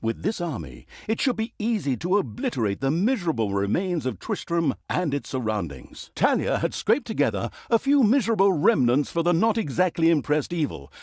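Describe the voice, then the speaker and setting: A man's voice: fairly high pitch, darkish tone, breathy edge, no room sound, studio quality, exaggerated voice. A man playing a snarky, self-aware storyteller in a fantasy video game, narrating with pompous, mock-grandiose flourish and wry asides.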